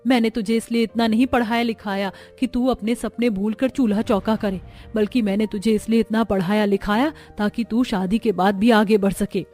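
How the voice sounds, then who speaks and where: A woman voicing a proud, ambitious middle-aged mother in an animated story. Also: mid-range voice, moderate pace, faint music behind, lightly acted. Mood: indignant. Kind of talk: animated story character dialogue.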